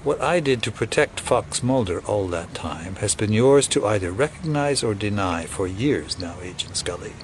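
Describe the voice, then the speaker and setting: An actor playing a cold, scheming older conspirator who talks in formal, condescending, wordy sentences in an audio drama. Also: male voice, lowish voice, animated, moderate pace, hardly any pauses, natural voice. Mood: smug, condescending. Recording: clearly noisy background ambience.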